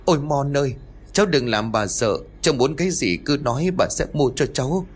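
A man's voice: lowish voice, very animated, normal speed. A man voicing a terrified old grandmother who pleads and cries out in panic. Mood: pleading.

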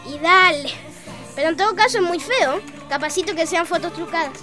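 A cheeky little schoolkid speaks very high with a Chilean accent. The child is argumentative and colloquial, in an educational radio play with light music behind. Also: female voice, fairly quick. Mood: contradicting. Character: cheeky, sensible young schoolchild. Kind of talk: scripted educational radio drama.

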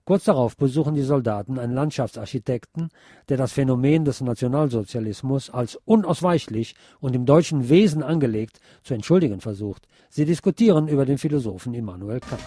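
Mellow male radio host, dry sound. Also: medium pitch, expressive, brisk, dry recording. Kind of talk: scripted radio narration.

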